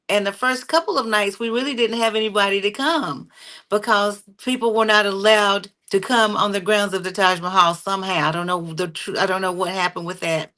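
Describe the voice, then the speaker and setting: A woman with a bright, forceful voice tells her stories at an easy pace with lots of fillers. She sounds middle-aged and speaks like a guest sharing memories in a relaxed chat. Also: medium pitch. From North Carolina. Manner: animated, warm storytelling with frequent fillers. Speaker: singer and interview guest. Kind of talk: conversational storytelling.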